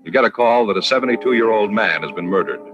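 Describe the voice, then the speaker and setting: A man working as a radio announcer and pitchman, emphatic and deliberate in a 1950s announcing style. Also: animated. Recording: dull old radio recording with music.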